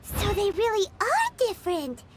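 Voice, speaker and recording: A woman voicing a tiny, curious, childlike fairy companion in a video game, with a squeaky voice. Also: huge pitch swings, normal speed, very noisy, exaggerated voice.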